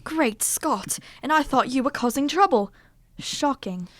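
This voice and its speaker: Detective's companion: woman acting proper, earnest, puzzled gentleman, fairly high voice, dry radio-play sound. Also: lively intonation, unhurried, no room sound, heavily acted. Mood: mild disapproval. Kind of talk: old-fashioned detective radio drama acting.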